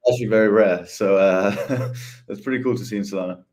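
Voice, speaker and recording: Deep-voiced easygoing man. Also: brisk, darkish tone. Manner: easygoing, hesitant with many "um"s, laughs easily. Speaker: head of ecosystem, podcast guest. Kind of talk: casual podcast chat.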